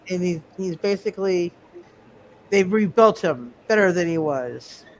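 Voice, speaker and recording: A man narrating a tabletop roleplaying game as game master, speaking haltingly and often repeating his words. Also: medium pitch, animated, a little hiss or hum.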